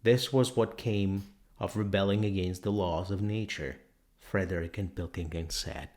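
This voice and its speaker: A man reading a novel aloud as scripted narration, in a measured way with the occasional stumble over words. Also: low-pitched, steady tone, a little mellow. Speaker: audiobook reader.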